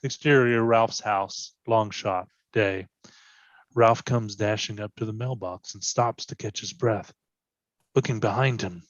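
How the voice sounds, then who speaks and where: A man's voice: fairly low pitch, measured pace, dry recording, muffled recording. A man reading scripted stage directions as narration in a readers theatre performance.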